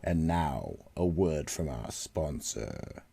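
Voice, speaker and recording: Super deep gravelly guy narrating a radio mystery, slow and dramatic. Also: lively intonation, dark tone, faint music behind.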